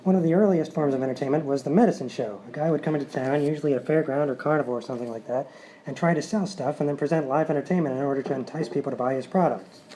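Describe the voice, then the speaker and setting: A man's voice: fairly high pitch, racing, mellow, slight background noise, rough recording. A man introducing a sketch to an audience as presenter of a live comedy show.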